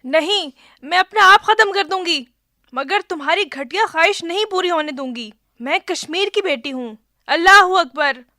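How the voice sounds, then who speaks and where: Shrill, defiant young woman. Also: expressive, moderate pace, sharp tone, heavily acted. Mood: desperate defiance. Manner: impassioned, declaiming. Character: defiant young Kashmiri woman facing soldiers at cliff edge. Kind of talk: radio drama acting.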